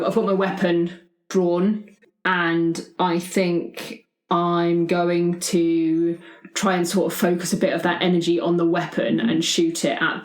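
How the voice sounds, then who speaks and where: Hesitant woman in an echoey room. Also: on the low side. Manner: hedging, thinking aloud. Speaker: player in a tabletop game. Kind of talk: casual improvised game talk.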